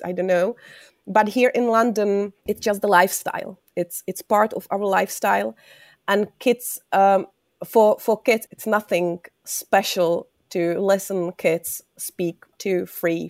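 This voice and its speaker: A woman from the Czech Republic who works as an educator, speaking earnest, halting English with a Czech accent in an interview. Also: mid-range voice, a little mellow, no room sound, clean studio sound. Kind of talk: interview conversation.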